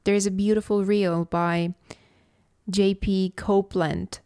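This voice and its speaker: A woman from Istanbul, hosting a reflective solo podcast as a philosophy coach, speaking in a slow, contemplative, measured way. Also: medium pitch, level tone, mellow, dry recording, clean studio sound. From Istanbul, Turkey.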